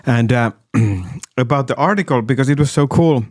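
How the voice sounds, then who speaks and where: A man's voice: mid-range voice, normal speed, no echo. Casual male host, close dry sound. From Finland. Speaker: talk show host. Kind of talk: casual interview chat.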